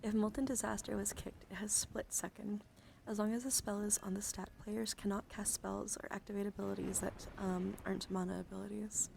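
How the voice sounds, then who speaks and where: A woman hosts a casual ASMR livestream in a soft voice that often slips into a whisper. Her middle-pitched voice has a marked gravelly fry. She chats and reads aloud close to the microphone, with clear noise behind her. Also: flat delivery, fairly quick, hardly any pauses. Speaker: live streamer hosting a trading card stream. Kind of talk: ASMR, casual card show-and-tell.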